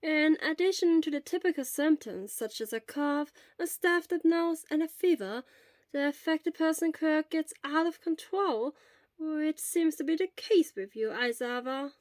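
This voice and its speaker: A woman voicing a kindly, brisk elderly school nurse who speaks in a slow, deliberate way. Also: sits high, no echo, voice pushed up. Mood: matter-of-fact.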